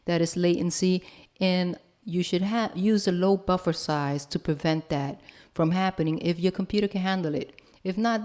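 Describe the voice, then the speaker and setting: Low-voiced woman teaching step by step. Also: darkish tone. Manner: casual, instructional, checks in often with "okay?". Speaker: host and music production instructor. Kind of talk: software tutorial, explaining step by step. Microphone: broadcast microphone.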